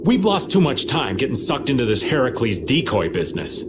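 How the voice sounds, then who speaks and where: A man voicing a casual, worldly adventurer in a fantasy video game, over loud music. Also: medium pitch, sing-song, a little fast, very noisy, close to an everyday voice. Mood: concerned. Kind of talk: English video game voice acting.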